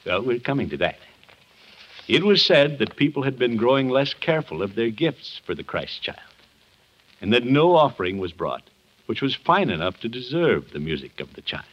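An American actor playing a kindly, jovial middle-aged uncle in a 1950s radio comedy, with an avuncular, theatrical storyteller's delivery. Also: male voice, medium pitch, sing-song, moderate pace. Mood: gentle storytelling. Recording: muffled old 1950s radio broadcast.

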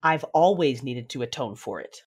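A woman playing a conflicted, commanding Sith noblewoman in an audio drama, measured and controlled, with dramatic delivery. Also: very deep voice, expressive, a little mellow, slightly rough, heavily acted. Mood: firm, commanding. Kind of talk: first-person audio drama narration and dialogue. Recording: music behind the voice.

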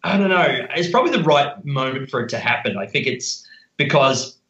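A man, a podcast guest, discussing film casually and haltingly as he thinks aloud. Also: mid-range voice, animated, a bit bright. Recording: dull, roomy sound.

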